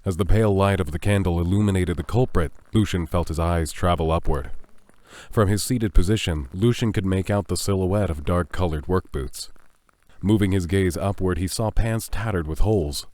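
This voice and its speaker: A man narrating a scripted audio drama in a measured, suspenseful storytelling manner. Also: deep voice, darkish tone, dry recording.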